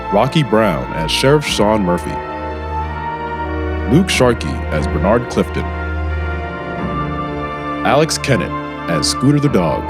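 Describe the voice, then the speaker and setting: A man hosting and narrating a scripted radio drama. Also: fairly low pitch. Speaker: host and narrator. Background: music.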